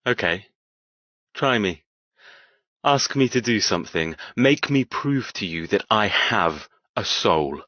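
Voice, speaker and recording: A man's voice: on the low side, expressive, slow pace, pushed and bright, no room sound, muffled recording, exaggerated voice. An actor playing a cold, cruel, arrogant young man in an audiobook, sardonic and controlled in manner. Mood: taunting, controlled. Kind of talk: audiobook character dialogue.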